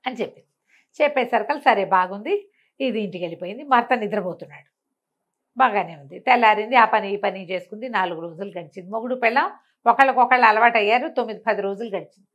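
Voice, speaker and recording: A woman with a Telugu accent telling a folk story in a colloquial, animated way, acting out the dialogue. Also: medium pitch, fast talker, muffled recording.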